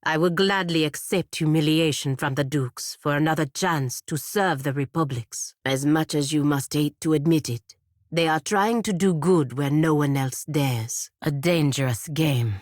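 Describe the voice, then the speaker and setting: Deep-voiced, proud, stern knight. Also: female voice, no echo, clean studio sound. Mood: firm, dutiful. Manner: formal, measured, grave. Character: proud, duty-bound godlike woman knight, stern and skeptical. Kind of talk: video game voice acting.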